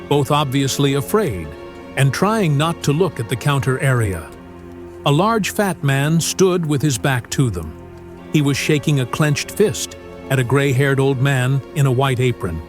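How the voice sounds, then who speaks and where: A man narrating an audio drama, reading scripted story prose in a dramatic style. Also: medium pitch. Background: music.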